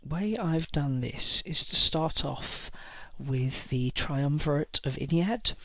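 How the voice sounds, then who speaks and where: Creaky, bright-toned male hobby host. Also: on the high side, expressive, no room sound. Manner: hesitant, many fillers and pauses. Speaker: tabletop wargaming channel host. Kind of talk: casual list-building talk to camera.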